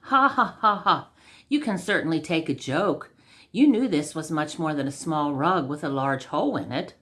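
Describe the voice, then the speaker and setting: A woman's voice: low voice, expressive, moderate pace, a bit bright. A woman voicing a brash young male rug salesman in a storybook, with a glib, slangy way of talking. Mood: glib, wheedling.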